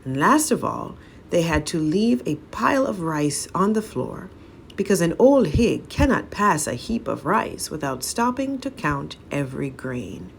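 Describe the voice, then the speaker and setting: A woman storyteller with a low voice and plenty of fry. She narrates a folk tale slowly and dramatically, as a read-aloud. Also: big pitch swings, slight background noise.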